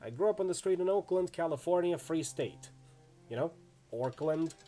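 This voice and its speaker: A man's voice: fairly high pitch, animated, normal speed, dark tone, soft background music, voice pushed up, close to an everyday voice. A man voicing a friendly, easygoing orc swordsman in a video game, chatty and full of laid-back street slang. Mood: chatty, proud. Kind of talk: acted reading of role-playing game dialogue.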